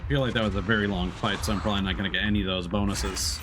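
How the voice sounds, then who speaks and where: Man, streamer, low voice, relaxed gameplay commentary, thinking aloud, faint background music. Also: rapid-fire.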